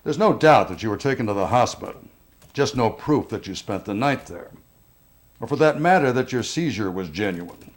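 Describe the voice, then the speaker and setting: An actor playing a police detective who presses suspects with measured, probing questions in a naturalistic film drama. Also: male voice, mid-range voice, animated, natural voice. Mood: pressing.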